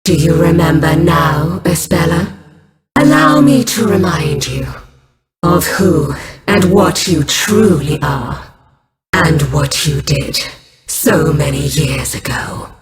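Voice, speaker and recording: An actress playing a menacing, commanding accuser in a fantasy video game, with an ominous, theatrical delivery. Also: female voice, deep voice, lively intonation, slow pace, vocal fry, reverberant, over a noisy crowd, full character voice. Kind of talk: dramatic video game voice acting.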